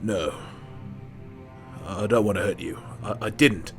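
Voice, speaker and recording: A man playing a grieving, guilt-ridden soldier in an audio drama, halting and subdued in his anguish. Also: lowish voice, gravelly, a bit bright, faint music behind. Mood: anguished, fearful.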